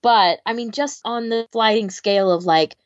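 A woman's voice: fairly high pitch, normal speed, highs cut off. A woman co-hosting a casual podcast discussion, thinking aloud in a tentative, hedging way. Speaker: podcast co-host.

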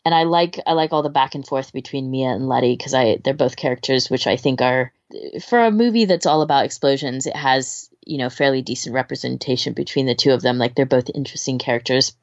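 A woman's voice: extremely low pitch, fairly quick, a little mellow, dry recording, muffled recording. A woman in casual, informal chat as a podcast co-host, rambling and hesitant as she gives recommendations, often trailing off. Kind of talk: casual chat, recommending fan fiction audio.

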